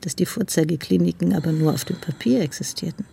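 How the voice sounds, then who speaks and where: Detective's wife in a radio drama, dark soft voice, really breathy, dry and in the know. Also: female voice, on the low side, fast, heavily acted. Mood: matter-of-fact. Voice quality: husky, breathy, creaky. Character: well-informed wife of a police commissario, dryly confiding. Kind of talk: naturalistic radio drama dialogue.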